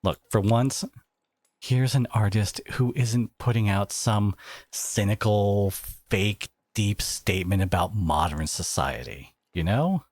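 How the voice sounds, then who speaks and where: A man acting a devoted, protective boyfriend in an audio roleplay, speaking in an earnest, halting, conversational way with an edge of sarcasm. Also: fairly low pitch, darkish tone, dry recording. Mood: proud, tender. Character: devoted, protective boyfriend confronting his partner's family, adult man.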